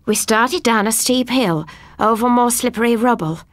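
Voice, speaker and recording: A woman narrating a scripted first-person ghost story as an audiobook reader, with a husky voice. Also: on the high side, moderate pace, dry recording.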